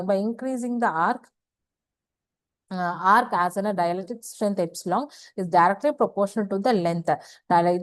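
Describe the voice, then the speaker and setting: A woman gives a slide lecture, halting and repeating phrases, often asking questions and answering them herself. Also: mid-range voice, a little mellow, no echo. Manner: halting, repetitive, asks and answers own questions. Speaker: engineering lecturer. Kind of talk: slide lecture explaining technical material.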